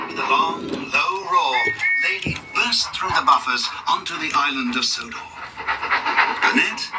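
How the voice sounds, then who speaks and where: Man, children's read-along narration, highish pitch, lively intonation, distant from mic. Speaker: storybook narrator.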